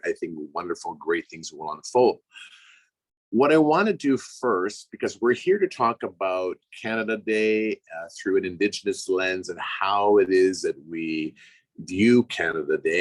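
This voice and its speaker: A middle-aged man with a cold-roughened, raspy voice, giving a calm, measured talk as guest speaker at an online session. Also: low-pitched, dry recording. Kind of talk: reflective public talk.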